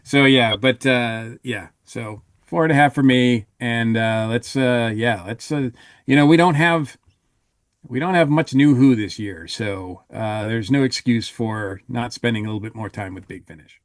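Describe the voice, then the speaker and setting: A man's voice: mid-range voice, unhurried, no room sound, clean studio sound. A man co-hosting a podcast, giving a casual review in a hesitant, rambling way.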